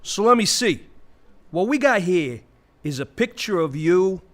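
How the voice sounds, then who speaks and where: A man's voice: high voice, animated, a little slow, a bit bright. An actor playing a crass, sarcastic, slangy male vulture in a comic audio play. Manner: wisecracking, slangy, streetwise, mocking.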